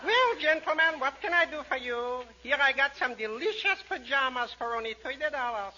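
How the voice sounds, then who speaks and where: Jolly, excitable salesman-manager, very high, sing-song, dull vintage radio comedy recording. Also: a little fast. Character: zany, jolly male department store manager. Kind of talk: 1940s radio comedy acting. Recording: dull 1940s radio recording, faint noise.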